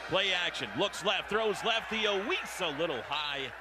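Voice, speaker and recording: High-pitched American football play-by-play man, roaring crowd. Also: expressive. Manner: clipped rapid-fire phrases, urgent calls on big plays. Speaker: radio play-by-play announcer. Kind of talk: live American football radio commentary. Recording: roaring stadium crowd and heavy noise. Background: loud stadium crowd.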